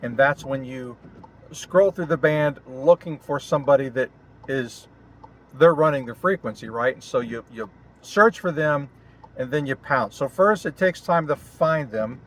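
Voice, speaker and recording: A man talking casually and explanatorily in a vlog recorded inside a car, in short phrases with pauses. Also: medium pitch. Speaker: vlogger and amateur radio operator. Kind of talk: casual vlog talk to camera. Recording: clear road and vehicle noise. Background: car and outdoor ambience noise.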